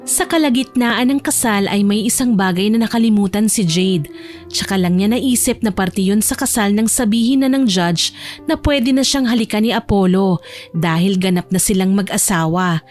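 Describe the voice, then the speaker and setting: A woman's voice: medium pitch, normal speed, darkish tone. A woman narrator reading a scripted romance story in audiobook style. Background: faint music.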